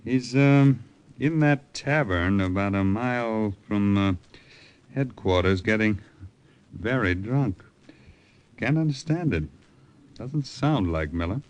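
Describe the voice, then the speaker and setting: A male actor playing an army colonel in 1940s radio drama, with a clipped manner and hemming pauses. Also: on the low side, animated, dull audio. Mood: brisk, concerned. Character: army colonel, hesitant but commanding senior officer.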